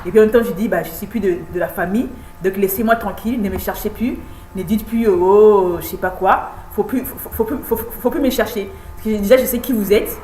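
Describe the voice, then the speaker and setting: A woman in her thirties recording a personal video message, halting and repeating words, insistent and pleading. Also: mid-range voice, dark tone. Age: 30s. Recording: echoey room with faint hum.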